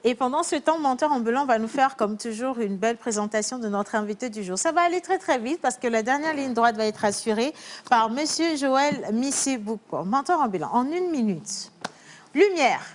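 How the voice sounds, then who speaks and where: Une animatrice de télévision qui annonce les séquences et lance les intervenants d'un ton directif. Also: female voice, a little high, lively intonation, normal speed, slight background noise. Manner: directive, cueing segments. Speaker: TV host. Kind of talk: hosting a live TV show.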